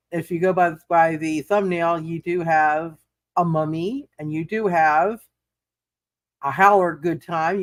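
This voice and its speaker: A man with a fairly high voice hosts a casual roleplay stream as game master. He speaks haltingly, doubling back over his words. The sound is close and fairly dry. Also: fairly bright tone, no room sound. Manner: halting, repeats words and restarts often. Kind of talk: casual livestream chat and recap.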